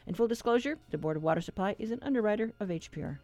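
A woman's voice: medium pitch, lively intonation, very fast. A woman hosting a public radio program in a conversational interviewing style. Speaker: radio show host.

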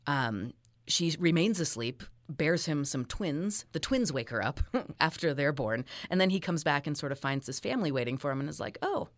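A woman's voice: low voice, lively intonation, normal speed, no echo. A woman talks as an academic guest in an interview, with very little room sound. She explains in an informal, fluent way with a wry touch. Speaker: expert guest, folklore academic.

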